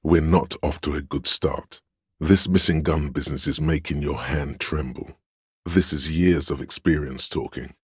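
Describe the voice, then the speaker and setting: A domineering inner voice from a video game, with an extremely low, resonant pitch. He speaks in a grave, measured, pompous way, as if issuing orders. The sound is dry and close. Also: steady tone, breathy edge, no room sound. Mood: grave, narrating. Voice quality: very deep, resonant. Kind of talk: scripted game narration, inner-voice commentary.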